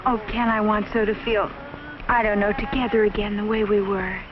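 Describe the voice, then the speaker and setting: A woman's voice: medium pitch, fairly even intonation, normal speed, lightly acted. An actress playing a lovestruck, earnest young bride in a 1950s educational film drama, pleading with her sweetheart. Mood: tender, yearning. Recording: muffled, noisy 1950s film soundtrack.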